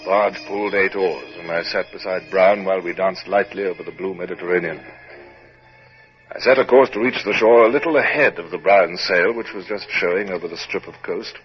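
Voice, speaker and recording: An actor playing a resolute British naval captain in a 1950s radio drama, crisp and authoritative as he narrates and gives orders. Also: low-pitched, fairly quick, faint music behind, lightly acted. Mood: narrating. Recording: noisy muffled old radio recording.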